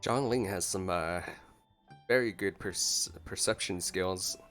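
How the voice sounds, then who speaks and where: A man with a fairly low voice comments on a video game as he plays. He is informal and playfully teasing, reacting casually while game music runs behind him. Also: takes it slowly, fairly bright tone. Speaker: gameplay commentator.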